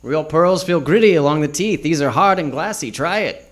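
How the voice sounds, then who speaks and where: An actor playing a brisk, knowing police lieutenant in a 1940s radio crime drama. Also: male voice, fairly high pitch, expressive, slight background noise, natural voice. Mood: assertive, knowing. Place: live theater stage.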